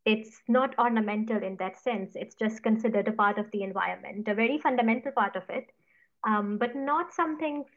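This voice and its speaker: A woman from Punjab whose first language is Punjabi, answering interview questions in a measured, careful way. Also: a little high, muffled recording. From Punjab, India. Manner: thoughtful, measured, careful hedging. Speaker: guest, writer on mosses.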